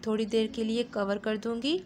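A woman's voice: on the high side, little pitch movement, normal speed, darkish tone. A woman, narrating a home cooking recipe as a channel host, in a friendly, instructive way with small fillers. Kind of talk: step-by-step cooking voice-over. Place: home kitchen.

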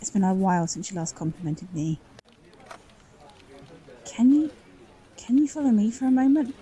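Woman acting a very mellow, suspicious office colleague. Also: mid-range voice, lively intonation, moderate pace, lightly acted, poor audio. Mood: uneasy, confiding. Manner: confiding, conversational. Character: suspicious, insecure office colleague confiding quietly. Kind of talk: roleplay audio drama.

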